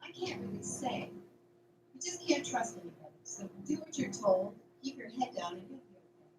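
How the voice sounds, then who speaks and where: A woman's voice: fairly high pitch, very animated, normal speed. A woman playing an anxious, secretive young farm woman in an amateur radio drama, speaking with urgent, emphatic insistence. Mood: warning, secretive. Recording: roomy, distant mic, noisy background.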